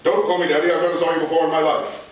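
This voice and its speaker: An actor playing a pompous, irascible, scheming school principal in a live radio comedy. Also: male voice, on the high side, little pitch movement, very fast, natural voice. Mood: feigned confusion. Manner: pompous, irascible, theatrical exclamations. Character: blustering, bossy, scheming male school principal feigning amnesia. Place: live stage performance. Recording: very echoey hall, distant, dull, hissy.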